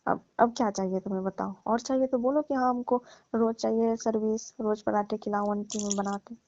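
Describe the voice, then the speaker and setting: A woman's voice: a little high, steady tone, a little fast, a little mellow, no echo, natural voice. A woman playing a needy, wary middle-aged divorced mother in a casual phone conversation, talking hesitantly and colloquially with a complaining note. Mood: insistent. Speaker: actor in a staged phone call skit. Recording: muffled lo-fi phone call recording.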